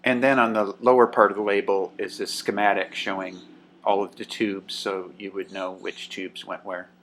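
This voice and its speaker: A man casually narrating a show-and-tell about a vintage radio he restored, relaxed and pausing often with "uh" and "um". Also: fairly low pitch, a little hiss or hum. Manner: relaxed, with frequent "uh" and "um".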